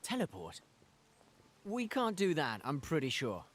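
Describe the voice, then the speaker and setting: An actor playing an eager, curious young adventurer in a fantasy video game, casual and inquisitive. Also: male voice, on the high side, wildly swooping pitch, unhurried, fairly bright tone, full character voice. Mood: curious, doubtful. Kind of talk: video game cutscene acting.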